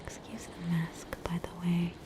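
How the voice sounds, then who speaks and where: A woman's voice: lowish voice, completely flat delivery, noisy background, close to an everyday voice. An Australian woman voicing a soothing, faintly haughty witch doctor in a whispered ASMR roleplay. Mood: disdainful. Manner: slow, soothing, reassuring bedside manner.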